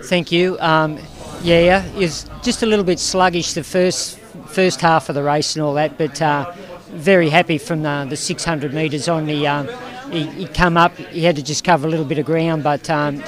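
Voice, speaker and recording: A man's voice: on the high side, fairly even intonation, normal speed. An Australian man from the Hunter Valley, a jockey, talking in an easygoing, hesitant way after a race. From Hunter Valley, New South Wales. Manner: easygoing, hesitant with many ums. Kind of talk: post-race interview.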